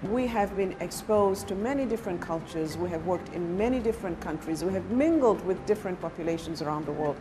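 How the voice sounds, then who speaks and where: A woman's voice: fairly low pitch, lively intonation, a little fast, few pauses. A woman making an interview statement for a promotional film. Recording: music bed under voice.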